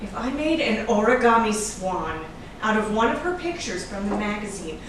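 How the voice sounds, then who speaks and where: A woman's voice: mid-range voice, very animated, moderate pace, natural voice. A woman playing a chatty, star-struck gossip lover in a staged reading, with a gushing, bubbly manner. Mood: excited, gushing. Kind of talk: stage acting at a script reading. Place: large room, staged reading recorded from afar. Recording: echoey room, far from mic, noisy.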